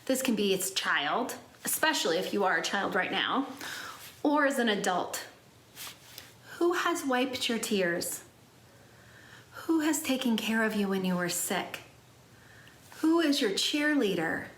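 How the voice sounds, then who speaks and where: A woman's voice: medium pitch, animated, a little slow, pauses often, fairly bright tone, echoey room. A woman giving a prepared, inspirational reflection as a speaker at a church service.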